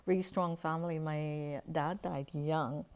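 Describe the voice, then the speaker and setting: A woman's voice: low-pitched, steady tone, a little slow, darkish tone, muffled recording. An older woman from Uganda, a dentist guest in a casual interview, telling stories earnestly with many fillers and restarts.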